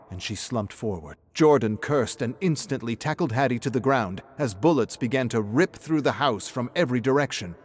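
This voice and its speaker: A man giving a scripted, dramatic narration of a story. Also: medium pitch.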